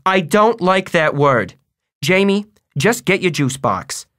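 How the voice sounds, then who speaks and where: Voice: mid-range voice, sing-song, measured pace, fairly bright tone, dry recording, studio quality. A narrator voicing a firm, caring mother who admonishes her sons in an audiobook. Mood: stern, disappointed. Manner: earnest, admonishing. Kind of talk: audiobook character voice.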